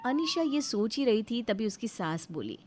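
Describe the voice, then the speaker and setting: A woman's voice: on the high side, sing-song, moderate pace, background noise. A woman narrating a scripted moral story as storyteller.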